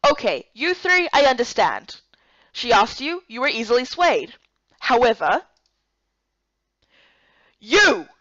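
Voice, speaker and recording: A woman voicing a stern, irritated woman leader in a visual novel game, speaking with sharp, emphatic authority. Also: fairly high pitch, very animated, slow pace, pauses often, dry recording, highs cut off, heavily acted. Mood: angry. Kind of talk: visual novel character voice-over.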